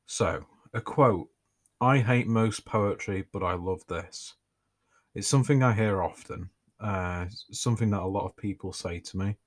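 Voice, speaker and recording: A man hosting his own podcast, reading his writing aloud in a relaxed way with dry, self-mocking humour. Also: on the low side, a little slow. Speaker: podcast host and author.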